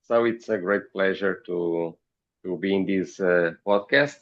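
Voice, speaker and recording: Man, Portuguese accent, low-pitched, slow halting delivery, repeated words, podcast guest, muffled lo-fi sound. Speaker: podcast guest, company founder. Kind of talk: interview answers.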